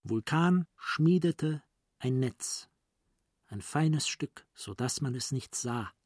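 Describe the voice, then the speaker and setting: A man narrating a radio play as a literary storyteller, in a deliberate, dramatic manner. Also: mid-range voice, lively intonation, a little mellow, no echo, studio quality.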